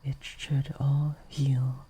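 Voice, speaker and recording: A woman acts out a courtly female vampire in an intimate audio roleplay. Her voice is very breathy and husky, often slipping into a whisper, with a dark, velvety tone. She speaks slowly and formally, gentle yet possessive as she coaxes. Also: very deep voice, little pitch movement, full character voice. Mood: tender, possessive. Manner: formal, archaic, slow, coaxing.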